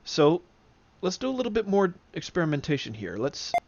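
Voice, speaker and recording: A man giving a casual spoken tutorial as an enthusiast, in a halting way with frequent throat clearing. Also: on the high side, big pitch swings, darkish tone, slight background noise. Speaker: demonstrator, flight simulator enthusiast. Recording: dull lo-fi close dry recording.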